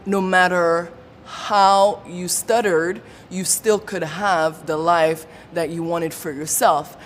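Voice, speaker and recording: Woman, lowish voice, unhurried testimony, stutters with blocks and repetitions; reverberant room, faint rumble. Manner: stutters with repeated sounds, blocks and pauses. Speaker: support group member sharing her story. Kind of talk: personal testimony.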